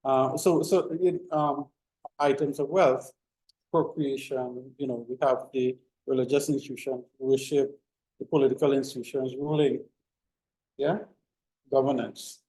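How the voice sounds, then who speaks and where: A man's voice: mid-range voice, fairly even intonation, unhurried, mellow. Man lecturing in an echoey room. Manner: rambling, many fillers and restarts. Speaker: university lecturer. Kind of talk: informal classroom lecture.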